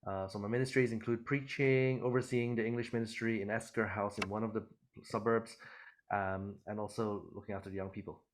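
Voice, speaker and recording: Lowish-voiced middle-aged man from Hong Kong. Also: flat delivery, a little fast. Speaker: church elder and doctor. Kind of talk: conversational interview answers.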